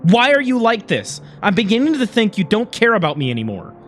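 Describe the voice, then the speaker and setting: A man's voice: sits high, wildly swooping pitch, brisk, a bit bright, soft background music, full character voice. A man voicing a hurt, pleading young alien companion in an emotional story reading. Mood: hurt, frustrated.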